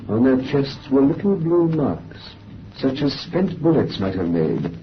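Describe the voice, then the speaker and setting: A man's voice: medium pitch, big pitch swings, measured pace. A man playing a grave storyteller in a vintage radio horror drama. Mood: grave, haunted. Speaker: story narrator. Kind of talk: 1930s radio drama acting. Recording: muffled, hissy old radio recording.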